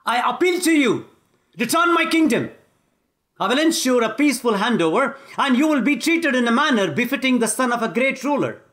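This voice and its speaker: A man's voice: very high-pitched, very animated, brisk, a bit bright, voice pushed up, full character voice. A man with Tamil as his first language voicing a righteous young warrior king who declaims formally and solemnly. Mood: solemn commanding.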